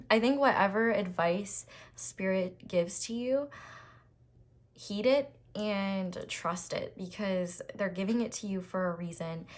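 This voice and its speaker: Chatty woman with a raspy voice. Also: mid-range voice. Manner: chatty, reassuring, many ums and restarts. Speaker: tarot reader. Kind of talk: casual tarot reading to camera.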